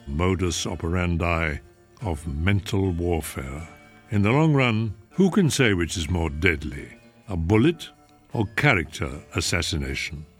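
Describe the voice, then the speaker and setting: A man hosting a radio mystery show, reading scripted narration in a measured, dramatic way. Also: deep voice.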